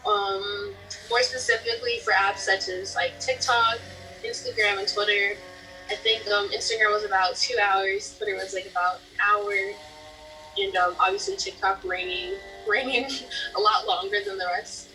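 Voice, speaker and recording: Bright, lively eighteen-year-old woman. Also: medium pitch, normal speed, far from the mic, soft background music, low-quality recording. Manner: chatty, many fillers, giggly. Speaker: journalism student. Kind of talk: casual interview answers.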